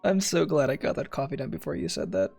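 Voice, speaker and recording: A woman's voice: extremely low pitch, very animated, fast talker, over music. A woman chatting casually while gaming, irreverent and sweary.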